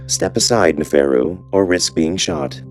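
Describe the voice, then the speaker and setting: A man voicing a calm, gentle, emotionless palace computer that gives deadly orders in a visual novel drama. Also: deep voice, unhurried, faint music behind, pitched below the performer's own voice. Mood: coldly calm. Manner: calm, gentle, impassive delivery. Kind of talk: scripted visual novel voice acting.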